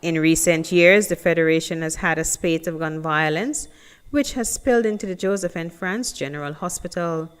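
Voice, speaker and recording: A woman's voice: lowish voice, moderate pace, background noise. A woman reading scripted news narration as a reporter.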